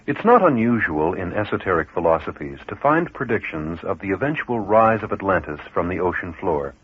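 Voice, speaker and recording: Through a thin, tinny radio sound, a man narrates a scripted documentary. His low voice is husky, formal and measured, with animated rises and falls. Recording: tinny, band-limited radio recording.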